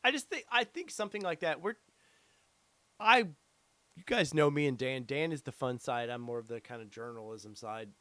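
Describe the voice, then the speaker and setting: A man's voice: mid-range voice, animated, normal speed, sharp tone, dry recording. A middle-aged man hosting a casual live stream, reading out viewer comments with lots of fillers, his voice getting tired and worn. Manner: rambling, many fillers, reads chat aloud. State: losing his voice. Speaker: podcast host.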